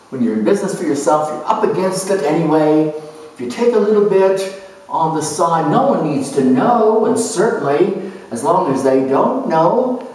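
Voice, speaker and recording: A man with a slightly high voice delivers a theatrical monologue. He speaks slowly and deliberately, leaning on his phrases. The recording is heavily echoed, picked up some way from the microphone. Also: a little mellow. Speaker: astrologer dramatizing zodiac character types. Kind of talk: theatrical first-person character monologue. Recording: echoey room, distant microphone.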